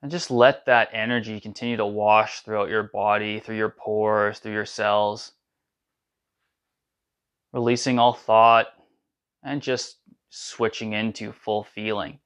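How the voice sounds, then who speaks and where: A man guides listeners through a chanting meditation, giving calm, soothing instructions in a smooth, resonant voice. He speaks very slowly and sits a little low in pitch, drawing out each chanted syllable. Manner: slow, soothing guidance with drawn-out chanted syllables.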